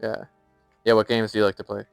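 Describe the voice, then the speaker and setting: Low gravelly American streamer. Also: male voice, fast, dry recording, soft background music. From United States. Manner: relaxed, chatty, reads game lore aloud with remarks. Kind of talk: casual live-stream chat while gaming.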